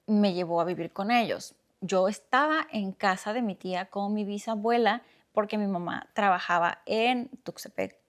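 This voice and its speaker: Soft-spoken Mexican woman sharing her story. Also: medium pitch. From Oaxaca, Mexico. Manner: soft-spoken, reflective, speaks slowly with pauses. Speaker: podcast host. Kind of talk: personal storytelling monologue.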